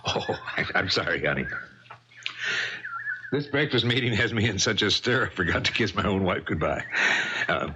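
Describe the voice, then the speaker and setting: Bright, brisk radio-drama husband. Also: male voice, on the low side, animated. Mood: brisk, hurried. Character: brisk, preoccupied businessman husband. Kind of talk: 1970s radio drama acting. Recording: dull, faintly noisy old radio broadcast.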